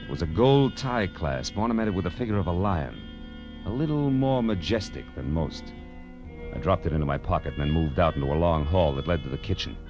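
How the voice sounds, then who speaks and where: Wry tough detective in old radio show, deep voice, music under it. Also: male voice, lively intonation, fairly quick, dull audio. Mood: wry, narrating. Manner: dry, wisecracking. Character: wry, hardboiled male private detective. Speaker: detective narrator in a radio crime drama. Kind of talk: hardboiled 1950s radio detective narration and dialogue.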